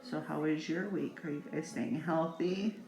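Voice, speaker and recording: A woman's voice: low voice, steady tone, moderate pace. Chatty middle-aged woman in an echoey room. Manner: playful, rambling, talks directly to viewers. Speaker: makeup vlogger. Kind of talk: casual chatty makeup tutorial. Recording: echoey room with faint music.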